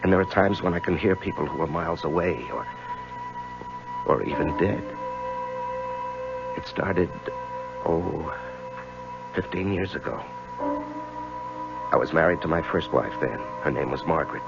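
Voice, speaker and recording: Deep gravelly haunted man, halting. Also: heavily acted. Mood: confessional. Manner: halting, hesitant, stammering. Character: troubled middle-aged lawyer confessing he hears voices. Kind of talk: 1970s radio drama acting, narrating and in scenes. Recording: dull lo-fi 1970s radio, music underneath.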